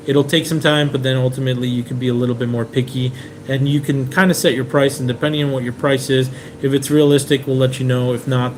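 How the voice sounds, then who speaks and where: A man from Venezuela hosting a live question-and-answer stream, chatting casually and reading out viewer comments with plenty of "um"s. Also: mid-range voice. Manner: relaxed, chatty, many "um"s and restarts. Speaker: car importer hosting a live Q&A. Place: indoors in a rented apartment. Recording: clear room noise and AC hum.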